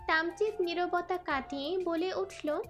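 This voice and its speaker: Female story narrator, very high-pitched voice, scripted narration, background music. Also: a little slow.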